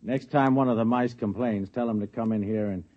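An actor playing a busy security supervisor who is dismissive and incredulous, stammering in a 1950s radio drama style. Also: male voice, mid-range voice, brisk, lightly acted. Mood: amused, dismissive. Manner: stammering, chuckling, condescending. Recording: dull lo-fi 1950s radio recording.

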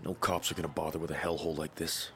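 An actor playing a weary, determined cybernetic veteran soldier in an audio drama, speaking tersely with a gravelly, husky voice. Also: male voice, flat delivery, quick pace, sharp tone, a little hiss or hum. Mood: grim, resolute. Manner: terse, low-key military delivery.

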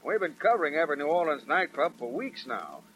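A loyal police lieutenant in a vintage radio crime drama. His voice is a little high, with an eager, deferential manner toward his chief. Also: very animated, fairly quick, fairly bright tone, a little hiss or hum, poor audio. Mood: tired. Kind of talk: 1940s radio crime acting.

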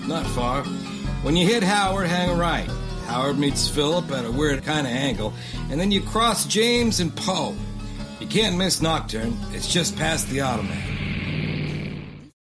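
An actor playing a folksy man who gives directions in an audio drama, with a deliberate drawl. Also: on the high side, sing-song. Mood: offbeat, helpful. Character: folksy man giving offbeat street directions. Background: loud music.

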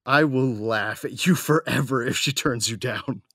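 A man's voice: medium pitch, a little slow, sharp tone, no echo, studio quality. A man playing a cocky, battle-weary young gunslinger, narrating and talking in a wry, casual, slangy way in an audio drama. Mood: teasing. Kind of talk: first-person audio drama narration and dialogue.

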